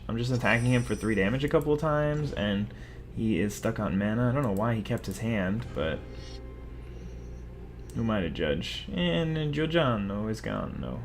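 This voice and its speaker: A man's voice: medium pitch, expressive, moderate pace, a little hiss or hum. Chatty young man narrating his card game. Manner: rambling, self-mocking, chatty. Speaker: gaming video host. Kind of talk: casual gameplay commentary.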